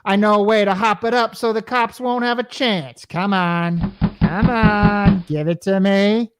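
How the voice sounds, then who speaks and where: A man's voice: high voice, steady tone, a little slow, very noisy. An actor playing a harried, wisecracking repairman with slangy, scheming patter in an old-time radio comedy. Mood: urgent, conspiring. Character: Caldwell, wisecracking repairman, a harried man scheming to save himself.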